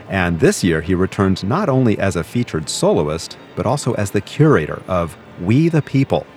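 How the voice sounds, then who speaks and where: Deep-voiced male concert radio host. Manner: measured, even announcer delivery. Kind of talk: scripted broadcast narration. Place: concert venue broadcast booth.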